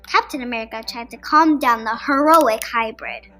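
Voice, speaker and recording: A woman's voice: on the high side, normal speed, pushed and bright. A woman reading a scripted children's superhero-style story aloud as a narrator. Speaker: storybook reader for a podcast. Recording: music behind the voice. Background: background music.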